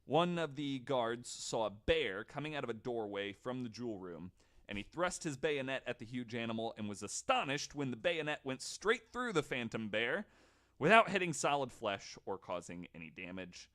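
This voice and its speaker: A man with an average-pitched voice hosts a podcast in a relaxed, conversational style. He tells stories informally and drops in wry, joking asides as he goes. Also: normal speed. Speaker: podcast host. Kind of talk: casual storytelling with jokes.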